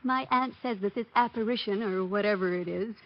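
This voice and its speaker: A woman's voice: mid-range voice, a little fast, natural voice. An actress playing a polite, wealthy young society woman, composed and refined, in golden-age radio style. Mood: concerned, businesslike. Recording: muffled old radio recording.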